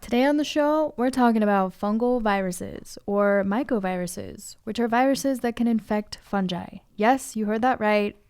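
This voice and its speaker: Smooth-voiced woman podcast host. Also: on the high side, normal speed, hardly any pauses, a little mellow. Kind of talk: casual interview and scripted narration.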